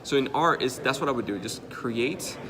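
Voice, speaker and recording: A man's voice: mid-range voice, animated, moderate pace, crowd noise. A man from the Dominican Republic, a native Spanish speaker with a Dominican Spanish accent, coaching emphatically and repeating key points. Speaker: digital marketing host. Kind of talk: conversational coaching.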